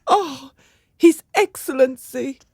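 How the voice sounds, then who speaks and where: A woman's voice: high voice, takes it slowly, darkish tone, no room sound, studio quality. A woman playing a fretful, gossiping servant of a noble house in a radio drama, melodramatic and confiding. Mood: distressed, hesitant.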